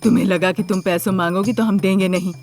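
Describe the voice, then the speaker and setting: A woman puts on an older mother-in-law for a cartoon moral story. She is watchful and measured, speaking at a middling pitch lower than a young girl's. Music is clearly heard behind her. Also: steady tone, a little mellow, lightly acted. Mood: calm, forgiving. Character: watchful, worried older mother-in-law who keeps sheep.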